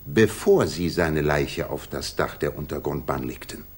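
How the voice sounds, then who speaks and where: Casting: incisive gentleman sleuth, low voice, sweeping intonation, ironic and theatrical, vintage radio play. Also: very animated, measured pace, heavily acted. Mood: stern accusing. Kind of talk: 1960s German radio crime drama acting.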